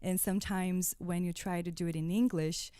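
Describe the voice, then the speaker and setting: A woman's voice: on the low side, fairly even intonation, normal speed, dark tone, clear, resonant tone, no room sound, studio quality. A woman from Brazil whose native language is Portuguese, a guest singer-songwriter talking conversationally in an interview.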